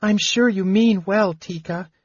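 A man's voice: high voice, a little slow, breathy edge, no room sound, highs cut off, pitched above the performer's own voice. A man voicing a small, injured male kender who speaks thickly and miserably. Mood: miserable.

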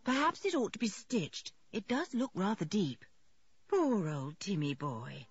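A practical, no-nonsense mother played by a woman in a storybook reading. She is soothing yet brisk, with animated pitch and slightly dull sound. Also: breathy edge, dry recording, heavily acted. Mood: calm practicality. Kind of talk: audiobook character voice.

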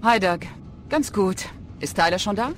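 A woman's voice: medium pitch, lively intonation, normal speed, a little hiss or hum, close to an everyday voice. An actress playing a weary, no-nonsense female police lieutenant in an audio drama. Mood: brisk. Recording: echoey reverberant room.

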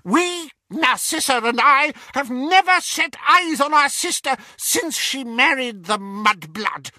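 A man's voice: extremely high pitch, expressive, slow, pushed and bright, no echo, studio quality, voice pushed up, heavily acted. A man voicing a fanatical woman follower, crying out and desperate to please. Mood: indignant. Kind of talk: audiobook character voice.

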